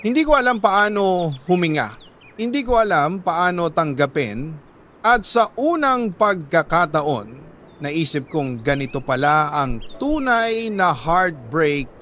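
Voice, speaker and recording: A man with a Filipino accent reading a listener's letter as a radio drama host, in a sorrowful, deliberate storytelling manner with dramatic pauses. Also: a little high, lively intonation, fairly bright tone, a little hiss or hum.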